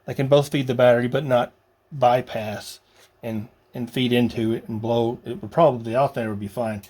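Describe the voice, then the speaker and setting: A man narrating a hands-on DIY job casually, halting and muttering to himself as he works. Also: mid-range voice, fairly even intonation, warm tone. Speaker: vlogger building a radio kit.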